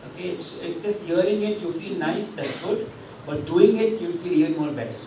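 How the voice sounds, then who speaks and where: High-voiced pastor guy preaching, lots of um and uh, mic in hand, big echo in the hall. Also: normal speed, mellow, a little hiss or hum. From India. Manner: conversational preaching, frequent fillers and restarts, rising emphasis. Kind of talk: sermon. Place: church hall with drum kit. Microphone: handheld microphone. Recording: very echoey hall, picked up from a distance.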